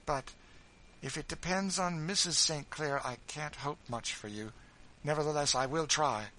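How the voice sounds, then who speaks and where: Man voicing an earnest middle-aged spinster, energetic, pleading, high, bright. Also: moderate pace, slight background noise, low-quality recording. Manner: earnest, pleading, raised pitch.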